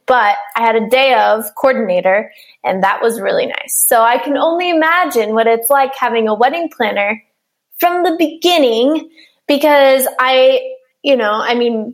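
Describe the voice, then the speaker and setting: Bubbly, giggly woman host, higher voice. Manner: bubbly, giggly, lots of fillers. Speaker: podcast host. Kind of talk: interview.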